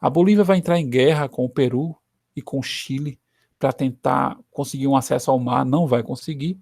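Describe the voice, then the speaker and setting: A man's voice: mid-range voice, a little fast, darkish tone, dry recording. Brazilian man teaching history, dry sound. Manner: conversational, frequent "né" tag questions. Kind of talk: recorded history lesson.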